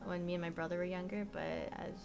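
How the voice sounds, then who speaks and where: Rambling young woman streamer, soft trail-offs. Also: on the low side, flat delivery, fast, creaky, music in the background. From Korea. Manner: rambling, full of "like" and "you know", trailing off softly. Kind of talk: casual chat with viewers. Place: bedroom at home.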